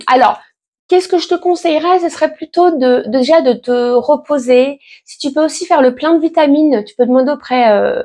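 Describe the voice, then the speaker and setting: A woman's voice: on the high side, gravelly, a little mellow. A pregnant woman in her late thirties, chatting casually and reassuringly to her viewers with lots of fillers and restarts. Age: 30s. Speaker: vlogger answering viewer questions. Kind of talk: casual chat.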